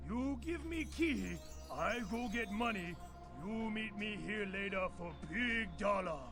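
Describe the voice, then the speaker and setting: A man's voice: high voice, measured pace. A money-grubbing old video game character. He crows and cackles in broken English, gleeful and spiteful, with music playing behind him. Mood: cheerful wheedling.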